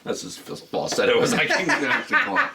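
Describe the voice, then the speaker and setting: A man with a deep voice co-hosting a casual gaming podcast, jokey and rambling, laughing often. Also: wildly swooping pitch, fairly quick, creaky, background noise. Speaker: podcast co-host.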